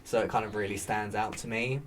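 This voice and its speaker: A man hosting a casual podcast chat, rambling with plenty of fillers in a soft, soothing voice. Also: fairly low pitch, fairly even intonation, fairly quick. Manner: rambling, chatty, with many fillers. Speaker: podcast host. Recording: faint background noise.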